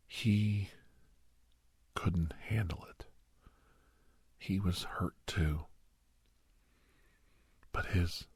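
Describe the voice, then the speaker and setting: A man playing a grave doctor in an ASMR roleplay, telling a haunting memory in a hushed, halting, breathy voice that often drops to a whisper. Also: sits low, no echo, exaggerated voice. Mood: grave, haunted. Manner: halting, hushed, dramatic delivery. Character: grave male doctor recounting a frightening memory to youngster.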